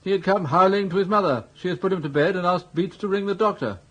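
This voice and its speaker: A vague elderly aristocrat with an upper-class English accent and a high voice for a man. He is fussy and easily indignant, babbling on in the manner of radio comedy drama. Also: fast, fairly bright tone, dull audio. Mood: aggrieved, flustered. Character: dithery, indignant elderly English earl devoted to his pig.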